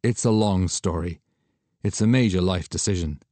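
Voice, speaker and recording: A man voicing a subdued young woman, a retired model, who answers in a quiet, understated way. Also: low voice, moderate pace, no room sound, lightly acted.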